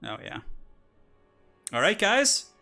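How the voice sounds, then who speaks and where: A bright, chatty man in his thirties hosts a live game stream. He reads out donations and chats with viewers, then casts a strategy game match. His match intros rise to hyped announcing. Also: high-pitched, wildly swooping pitch, slow pace, faint music behind. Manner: chatty, reads donations aloud, laughs often. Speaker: streamer and tournament caster. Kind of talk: casual live-stream chat and game commentary.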